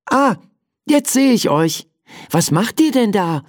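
A man's voice: high-pitched, lively intonation, slow, a little mellow, no echo, clean studio sound, voice pushed up, exaggerated voice. A man voicing a curious, confident young child in a children's storybook.

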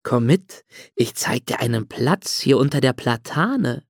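Helpful lady cat voiced by the narrator, slow, dry sound. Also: medium pitch, animated, breathy edge, no echo, pitched below the performer's own voice. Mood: kindly.

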